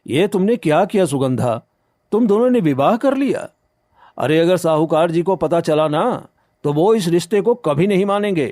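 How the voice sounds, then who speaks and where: A man's voice: high voice, animated, moderate pace, a little mellow, dry recording, clean studio sound. Man playing a proud, burdened poor farmer. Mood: alarmed. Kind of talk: Hindi animated moral story dialogue.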